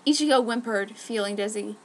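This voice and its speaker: A woman's voice: fairly high pitch, fairly quick, a little hiss or hum. A woman giving a dramatic scripted reading as an amateur story narrator. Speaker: amateur fan fiction narrator.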